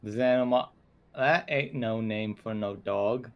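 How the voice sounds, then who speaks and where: A man's voice: fairly low pitch, slow pace, dull audio. A man talking casually as a player in a tabletop role-playing game.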